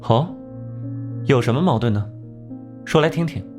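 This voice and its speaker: A man playing a composed, sharp-tongued genius detective in an animated crime drama, measured and condescending. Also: medium pitch, soft background music, natural voice. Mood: smug, mocking.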